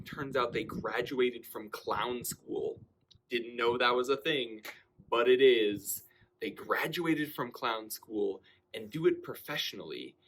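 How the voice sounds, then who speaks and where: A young man telling a personal anecdote in a casual, conversational way, acting out bits of dialogue as he goes. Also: mid-range voice. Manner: animated delivery, acting out quoted dialogue. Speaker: storyteller.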